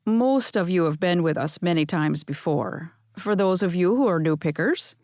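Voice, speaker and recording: A woman voicing a warm, teasing older Scottish grandfather with a soft brogue in an audiobook. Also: on the low side, lively intonation, moderate pace, no echo, studio quality. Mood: instructive. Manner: twinkly, welcoming, gently flirtatious.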